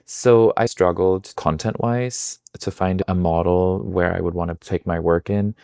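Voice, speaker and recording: A man's voice: deep voice, fairly even intonation, measured pace, gravelly, warm tone, smooth, dry recording, clean studio sound. A man, answering interview questions in a thoughtful, reflective way. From Denmark. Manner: thoughtful, reflective, with small restarts. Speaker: guest, magazine founder.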